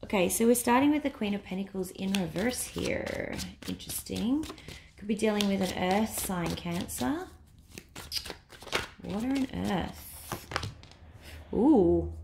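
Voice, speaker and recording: A woman reading tarot cards in a casual way while losing her voice to a cold, thinking aloud with many pauses and fillers and now and then dropping to a whisper. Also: medium pitch, animated, vocal fry, noisy background. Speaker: tarot reader.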